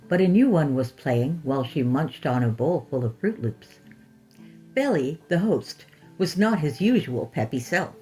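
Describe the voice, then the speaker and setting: Female horror narrator, low register and unhurried, expressive scripted read over a light music bed. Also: rough recording. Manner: deliberate, expressive storytelling.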